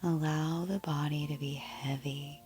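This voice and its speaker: Female meditation guide, low and very even, soft breathy endings, soothing delivery over music. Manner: soothing, gentle, unhurried. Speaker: yoga nidra guide.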